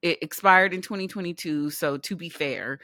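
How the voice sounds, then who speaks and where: Podcast co-host, middle-aged woman from California, lowish voice, dry close sound, laughs and swears a lot. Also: normal speed, bright, forceful tone, no room sound. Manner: laughs often, swears casually. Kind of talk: casual chat.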